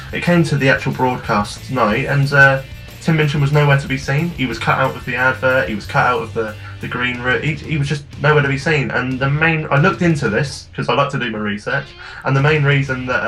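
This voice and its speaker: A man's voice: mid-range voice, a bit bright. A young British man co-hosting a casual comedy review, rambling and self-mocking with frequent restarts. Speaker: co-host of a review show.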